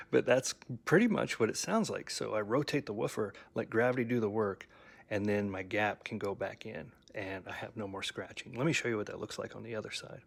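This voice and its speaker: A man casually showing off his homemade loudspeaker build, rambling and correcting himself with frequent ums and stammered restarts. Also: lowish voice, vocal fry. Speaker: DIY audio hobbyist showing his speaker build. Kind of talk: casual workshop show-and-tell.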